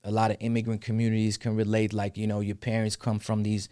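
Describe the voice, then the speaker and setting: A man's voice: on the low side, monotone, quick pace, dark tone, dry recording, studio quality. A young man from the Netherlands, a rapper talking casually as a podcast guest, loose and peppered with "you know what I'm saying.". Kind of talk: casual interview conversation.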